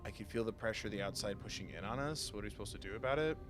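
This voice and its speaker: A man's voice: lowish voice, rapid-fire. A man streaming a game live, chatting casually and muttering dialogue choices aloud. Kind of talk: live let's play, casual chat and reading choices aloud. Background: clear game music.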